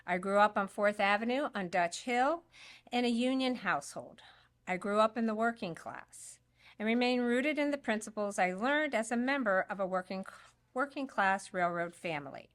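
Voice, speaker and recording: A woman reading a prepared statement aloud for an absent candidate at a public forum, in a steady, measured way with a few small slips. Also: medium pitch.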